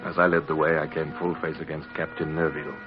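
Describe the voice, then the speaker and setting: A man's voice: rumbling low, level tone, quick pace. An actor playing an earnest young British midshipman in an old radio drama, speaking in a dramatic, clipped way. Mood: grave recollection. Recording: dull vintage radio recording, loud music.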